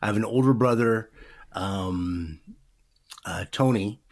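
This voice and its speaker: A man's voice: fairly low pitch, slow pace, a little mellow. A man in his fifties, a former radio DJ, chatting in an interview in a rambling way with many fillers. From Pacific Northwest, USA. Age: 50s. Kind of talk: casual interview chat.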